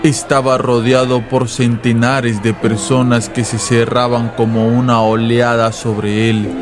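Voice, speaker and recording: A man's voice: medium pitch, flat delivery, a little slow. A man narrating a scripted, dramatized story in a literary radio-drama style. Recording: voice over music and crowd noise. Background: music and crowd sounds.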